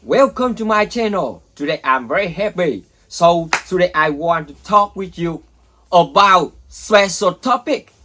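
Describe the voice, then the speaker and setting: A man giving an excited, upbeat greeting as the channel's intro. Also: high voice, measured pace, noisy background.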